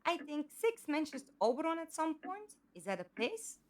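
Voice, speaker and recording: An earnest, indignant captive heroine from an audio drama, played by a woman. Her voice sits high and moves with a wide, expressive melody. She speaks emphatically, as someone who cares deeply. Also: moderate pace, a little hiss or hum. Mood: wistful. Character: Five, earnest indignant female abductee with amnesia.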